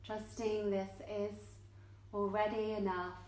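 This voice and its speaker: A woman leads a guided meditation in an echoing indoor yoga studio. She speaks very slowly and gently, in short measured phrases separated by long pauses. Her voice sits at a medium pitch for a woman. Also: level tone. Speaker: yoga nidra teacher.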